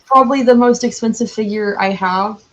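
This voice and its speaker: A woman's voice: on the high side, fairly quick. A chatty, exuberant woman talking casually as a podcast guest, with a slightly muffled sound. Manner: chatty, self-described loud and exuberant. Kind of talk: casual chat.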